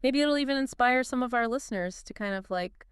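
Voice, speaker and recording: Smooth-voiced woman chatting eagerly. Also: fairly high pitch, brisk, no echo, studio quality. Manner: conversational, lots of "like" and "you know", eager reactions. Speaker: podcast co-host. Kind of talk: casual chat.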